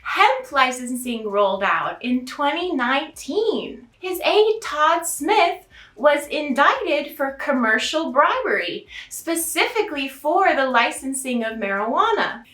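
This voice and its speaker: Satirical storyteller, feminine American voice, bright and unhurried, sarcastically sweet and theatrical, heard in an echoing room. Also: high voice, big pitch swings. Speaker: storytelling host in a drag elf persona. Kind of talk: satirical storytime to camera, mock fairy tale. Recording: roomy, echoing sound.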